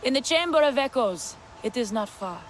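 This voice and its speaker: A woman playing a proud, earnest rider of an alien plains people, with measured, formal phrasing in a video game drama. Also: a little high, lively intonation, slight background noise, close to an everyday voice. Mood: welcoming.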